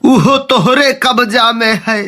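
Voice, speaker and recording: A man's voice: very high-pitched, unhurried, pushed and bright, heavily acted. A man voicing a grumbling, exasperated village father in a comic cartoon, with a sarcastic, complaining manner and an Awadhi accent.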